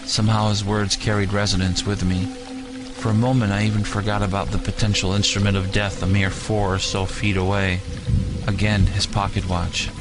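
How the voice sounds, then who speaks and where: A man giving grave, deliberate, dramatic first-person narration for an audio story. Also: fairly low pitch, level tone. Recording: music bed with rain sounds.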